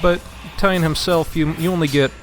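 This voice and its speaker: A man's voice: a little high, fairly even intonation, fairly quick, a bit bright, faint music behind. A man chatting casually as co-commentator on video game play, conversational with a joking way of talking. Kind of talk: casual gameplay chat.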